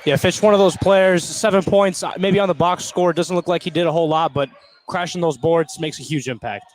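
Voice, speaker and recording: A man's voice: a little high, fairly quick, rough recording. An American man giving casual color commentary on a live basketball game. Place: indoor basketball gym during a game.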